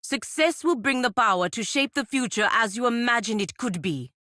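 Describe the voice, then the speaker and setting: An actress voicing a proud, stern warrior knight in a video game, speaking in a formal, measured, admonishing way. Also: female voice, a little high, lively intonation, sharp tone, no echo, studio quality. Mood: grave, frank. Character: proud, stern warrior knight sworn to her republic, woman. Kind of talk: video game character dialogue.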